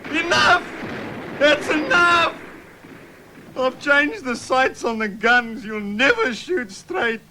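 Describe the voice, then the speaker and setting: A man playing an exasperated, bossy authority figure, shrill and emphatic, in madcap radio comedy. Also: animated, slow pace, noisy background, exaggerated voice.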